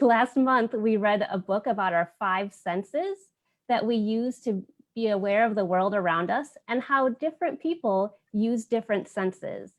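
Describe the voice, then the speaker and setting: A woman hosting a virtual children's story time, explaining things in simple, child-friendly language. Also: medium pitch.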